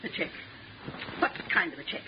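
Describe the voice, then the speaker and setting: A woman's voice: moderate pace, close to an everyday voice. A woman playing a cold, haughty, nagging society mother in a 1950s radio drama, with an imperious, fussy manner. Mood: sharp disbelief. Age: middle-aged or older. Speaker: supporting actor. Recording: muffled old radio recording, faint hiss.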